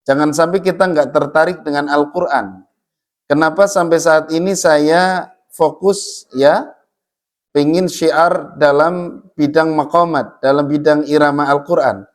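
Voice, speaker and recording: A man teaching Quran recitation in a mosque, chatting and joking, and reading verses aloud to show how they sound. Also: fairly high pitch. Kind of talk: casual religious study talk.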